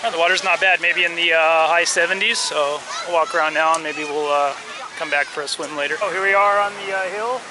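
A man's voice: fairly high pitch, fairly even intonation, a little fast, background noise. A man in his thirties rambling casually with many fillers as he films a travel vlog, on an action camera held at arm's length outdoors. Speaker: travel vlogger. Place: outdoors on a ship deck and in town.

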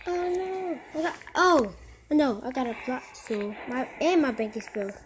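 A teenager giving casual, excitable commentary while playing a mobile game, repeating short phrases and calling out to other players. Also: high-pitched, moderate pace, a little hiss or hum, low-quality recording. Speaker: gamer. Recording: game music loud behind voice.

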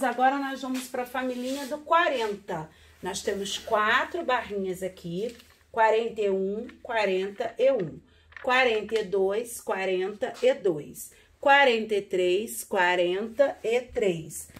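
A woman in her forties teaching young children from a classroom, counting slowly in a sing-song way and repeating each number. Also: a little high. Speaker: primary school teacher. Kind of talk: remote lesson for young children. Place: classroom with wall charts, indoors.

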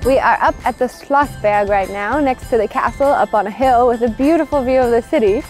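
Female travel host, thirties, high voice, keen explainer to camera, clear music bed underneath. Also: fairly quick, darkish tone, poor audio. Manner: enthusiastic, explanatory. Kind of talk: upbeat travel vlog presenting to camera. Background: background music.

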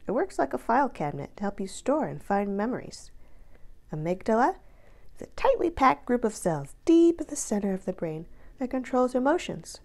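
A woman reading a children's book aloud in an expressive, deliberate way, drawing out key words for emphasis. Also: fairly high pitch, creaky.

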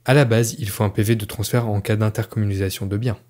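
A man puts short scripted questions. His pitch is fairly low. A faint noise sits under the recording. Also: steady tone, quick pace, darkish tone. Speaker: off-screen questioner.

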